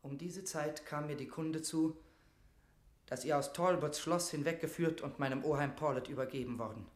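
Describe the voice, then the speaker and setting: A man's voice: on the high side, moderate pace, close to an everyday voice. Man playing fervent young nobleman in echoing room. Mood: urgent, conspiratorial. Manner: declamatory, impassioned, solemn. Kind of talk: classic German verse drama, declaimed radio acting.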